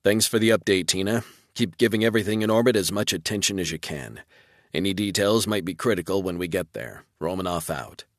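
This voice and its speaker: A man reading as a commanding male starship commodore in a sci-fi audiobook. He speaks low and gives terse, clipped orders with resolve, in a dry sound. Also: expressive, a little fast, no room sound. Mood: firm, commanding.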